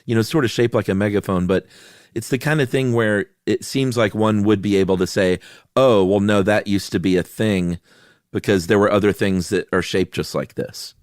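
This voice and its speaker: A man with a low voice speaks as a podcast co-host. His delivery is informal and conversational, easygoing as he explains, and the sound is close and dry. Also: normal speed, no room sound.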